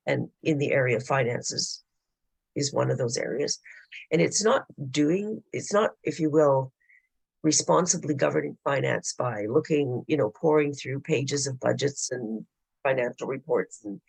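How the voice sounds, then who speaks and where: A hoarse man with a gravelly, husky voice talks a board through governance principles. He explains in a halting way, pausing often as he builds his points. The sound is close and dry, with no room echo. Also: mid-range voice. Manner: explanatory, halting with frequent hesitations. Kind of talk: formal presentation at a board meeting. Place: public board meeting room.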